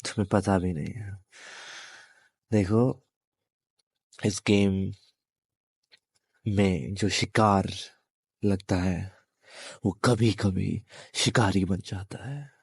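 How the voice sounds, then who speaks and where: Low, slow-talking possessive demon played by a man. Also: steady tone, breathy edge, dry recording, exaggerated voice. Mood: taunting defiance, then sly, threatening. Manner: slow, taunting, halting delivery with long pauses. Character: menacing, possessive male demon, captive turning predator. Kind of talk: intimate audio roleplay monologue addressed to listener.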